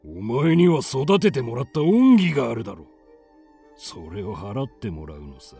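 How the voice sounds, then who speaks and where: A husky, menacing older chieftain. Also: male voice, medium pitch, big pitch swings, moderate pace, warm tone, soft background music, heavily acted. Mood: sly, menacing. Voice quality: husky, gravelly. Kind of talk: radio drama acting.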